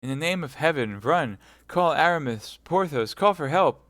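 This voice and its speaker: A man's voice: lowish voice, lively intonation, normal speed, sharp tone, no room sound. A man voicing a devoted young soldier who cries out urgently for help. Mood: frantic. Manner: crying out, urgent.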